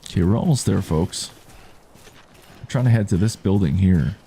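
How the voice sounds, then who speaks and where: Man, deep mellow baritone, calm unhurried gameplay streamer, faint hiss behind. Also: vocal fry. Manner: calm, unhurried, reads chat aloud. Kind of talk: relaxed live gameplay commentary.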